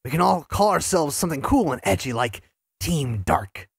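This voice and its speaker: A woman voicing an upbeat, excitable young male hedgehog hero in a fan audio drama, with a husky voice. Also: low-pitched, moderate pace, no echo, clean studio sound, exaggerated voice. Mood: eager. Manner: excitable, bouncy, eager.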